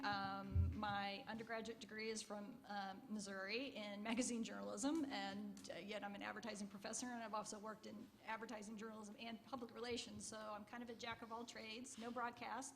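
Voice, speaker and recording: A woman's voice: mid-range voice, noisy background. A woman advertising professor introducing herself on a panel, casual and hesitant with many fillers.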